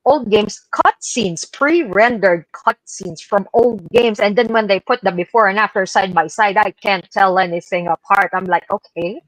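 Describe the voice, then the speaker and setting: Woman, medium pitch, casual panel commentary, opinionated, very little room sound. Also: dry recording, poor audio. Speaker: gaming show panelist.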